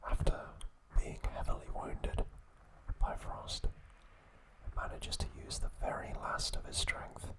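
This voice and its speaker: Guy doing a whispered asmr recap, really slow, gentle and breathy. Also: rough recording. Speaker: ASMR host.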